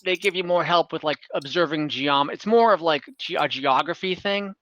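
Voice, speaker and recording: A man's voice: on the high side, moderate pace, fairly bright tone, no room sound. A man acting as game master, improvising narration and rulings casually while thinking aloud over an online call. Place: close, dry mic on an online game call.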